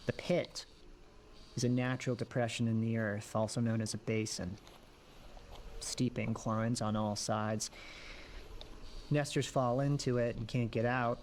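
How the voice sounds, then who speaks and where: A man's voice: mid-range voice, steady tone, a little slow, long pauses, a little mellow, slight background noise, natural voice. A man playing a haunted, reflective lone apocalypse survivor, confiding in a plain-spoken found-footage horror monologue. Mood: matter-of-fact.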